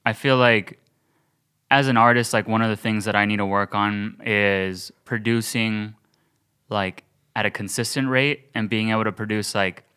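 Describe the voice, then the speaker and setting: A man's voice: lowish voice, steady tone, moderate pace, pushed and bright, clean tone. A young man co-hosting a podcast, talking earnestly and thinking aloud with many restarts. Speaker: podcast co-host. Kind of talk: casual podcast chat.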